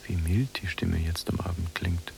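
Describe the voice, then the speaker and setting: An actor playing a calm, detached prisoner in a German radio drama, speaking in a measured, gravelly voice. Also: male voice, low voice, slight background noise. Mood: soft, pitying. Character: calm, detached, forgiving male prisoner, younger cellmate.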